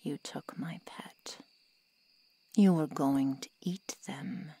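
Woman acting a slow, whispery, low-voiced vampire seductress. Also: slight background noise. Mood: cool, menacing. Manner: slow, deliberate, hushed and coaxing. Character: protective, coolly menacing female vampire seductress. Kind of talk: ASMR roleplay, intimate whispering.

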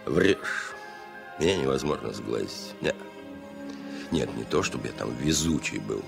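A seasoned male pilot thinks aloud in a radio drama. His voice is very deep and creaky, and he speaks slowly and stubbornly, steadying his own nerves. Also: expressive, over music, exaggerated voice. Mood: defiant, resolute. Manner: deliberate, musing, self-talking. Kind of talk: 1970 radio play monologue.

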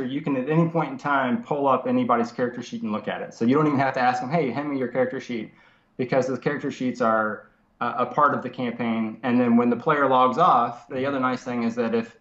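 A man in his thirties with a nasal voice from a cold, explaining software casually over a video call from his home study, with many fillers and restarts. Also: medium pitch. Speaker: software developer guest. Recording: roomy lo-fi video call audio.

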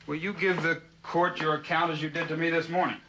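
Quick, higher-voiced defense lawyer. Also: male voice, little pitch movement, bright, forceful tone, a little hiss or hum, rough recording. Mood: questioning. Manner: formal, direct questioning. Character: crisp, businesslike male defense lawyer questioning a witness. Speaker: actor as defense counsel. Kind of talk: 1960s television courtroom drama acting.

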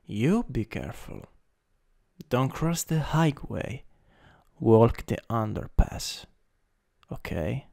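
Slow, creaky Italian-accented man, breathy ASMR roleplay. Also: mid-range voice, sing-song, warm tone. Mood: soft, teasing. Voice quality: breathy, often dropping to a whisper. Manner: slow, intimate, halting delivery. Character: teasing, domineering older stepbrother. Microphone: close USB microphone.

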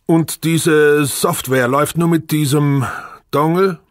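A man voicing a probing, deliberate male company chairman in an audiobook. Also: on the high side, steady tone, no echo, pitched above the performer's own voice. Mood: questioning.

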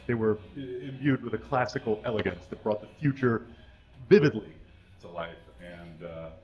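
A man giving a comic reading on a small stage at a stand mic, deadpan and mock-earnest. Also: lowish voice, moderate pace, highs cut off. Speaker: guest reader. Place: small stage with curtains and brick wall.

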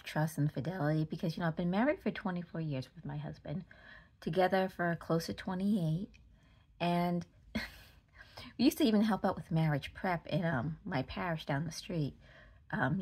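A woman in her forties speaks in a fairly low voice with a Boston accent. She rants casually to camera, frustrated and emphatic, repeating phrases to drive them home. It sounds like a phone held at arm's length indoors. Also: darkish tone, husky. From Massachusetts, United States. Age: 40s. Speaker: vlogger. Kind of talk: casual opinion rant to camera.

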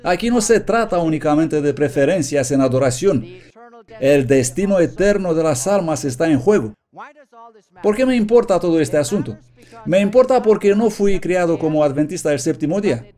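Earnest man preaching in a mellow voice. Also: a little high, animated, normal speed. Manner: earnest, emphatic, reading quotations aloud. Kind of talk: sermon read from notes, Spanish voice-over.

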